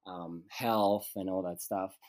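A man's voice: sits low, fairly even intonation, takes it slowly, a little mellow, no room sound. A man from Switzerland, a guest actor talking through his life in a thoughtful, searching way. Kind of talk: casual conversational interview.

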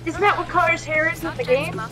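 A woman's voice: extremely high pitch, fast. A woman co-hosting a podcast, chatting playfully and enthusiastically as a movie plays. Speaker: podcast co-host. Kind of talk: casual movie reaction chat.

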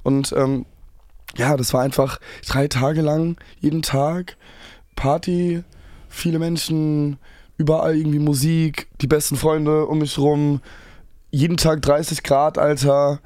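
A hoarse young man co-hosting a casual podcast chat, rambling and searching for words. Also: on the high side, little pitch movement, a little slow, a little mellow, no room sound, clean studio sound. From Germany. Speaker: podcast co-host. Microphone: close studio mic.

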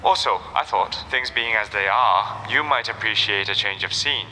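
An actor playing a cultured, wry retro enthusiast and kindly friend in an audio drama. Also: male voice, on the low side, moderate pace, sharp tone, slight background noise. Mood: friendly. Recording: long reverberant hall-like echo.

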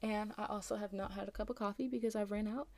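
A young American woman rambles through her solo podcast in a mellow, warm tone that sounds a little raspy from just waking up. Her voice sits at a middle pitch and is recorded dry and close, with no room around it. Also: fairly even intonation, fast, no room sound. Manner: rambling, chatty, frequent ums and likes. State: slightly raspy morning voice. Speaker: podcast host. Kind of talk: casual solo podcast chat.

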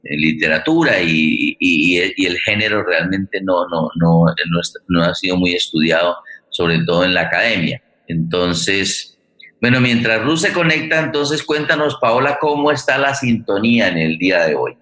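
Halting man, bright voice, echoey room. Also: deep voice. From Colombia. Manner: halting, repeats words and phrases. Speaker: forum host. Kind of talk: live online forum hosting, conversational. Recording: echoey room over online stream.

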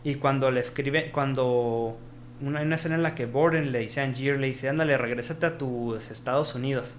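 A man's voice: mid-range voice, brisk, slight background noise. Chatty Mexican man retelling film scenes. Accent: Mexican Spanish. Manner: animated storytelling, acts out quoted dialogue, frequent restarts. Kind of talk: casual film discussion.

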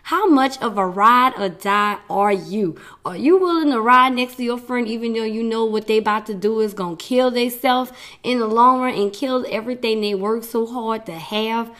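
A woman's voice: fairly high pitch, moderate pace, a bit bright. A woman hosting her own podcast, talking in a chatty, rambling way with frequent laughs while she is losing her voice. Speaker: podcast host. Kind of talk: casual solo podcast talk.

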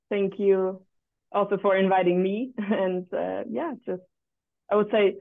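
A woman's voice: mid-range voice, steady tone, slow, creaky, dry recording. Podcast guest: woman, 27, from Berlin, reflective and tentative in casual talk, tinny call audio, no room sound. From Berlin, Germany. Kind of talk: casual interview conversation. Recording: thin, phone-like remote call audio.

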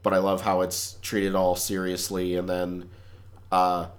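A man co-hosting a podcast in casual chat, relaxed and measured with dry asides. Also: low voice. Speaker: podcast co-host.

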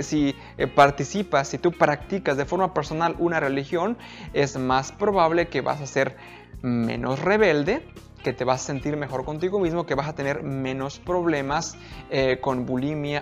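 Low-voiced woman preaching with a Mexican accent. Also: darkish tone, highs cut off. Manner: earnest, emphatic preaching with direct questions to listeners. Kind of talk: live-streamed sermon.

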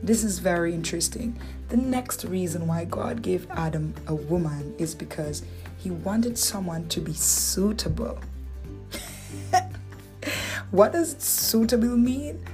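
Dominican woman, lowish voice, chatty Bible teacher, soft music bed. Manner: conversational, asks rhetorical questions, playful asides. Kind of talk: relaxed devotional teaching.

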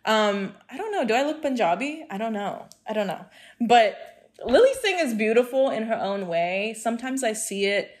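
A young woman from Hong Kong chats with friends, speaking her mind in an animated, expressive way. She laughs easily and talks with conviction. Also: a little high, normal speed. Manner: animated, outspoken, laughs often. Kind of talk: casual group chat.

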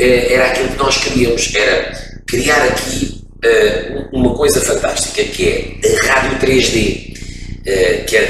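A middle-aged radio man with a European Portuguese accent tells long stories in a relaxed interview, pausing often to gather his thoughts. His voice bounces around a very echoey room and sounds set back from the microphone. A clear hum of background noise sits under his speech. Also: mid-range voice, distant mic. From France. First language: French. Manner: expansive storyteller, frequent hesitations. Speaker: radio broadcaster, interview guest. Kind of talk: casual interview, reminiscing.

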